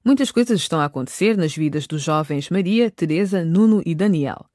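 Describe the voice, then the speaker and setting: A woman's voice: lowish voice, lively intonation, fairly quick, a little mellow, dry recording, clean studio sound. A woman reading scripted narration for a radio drama.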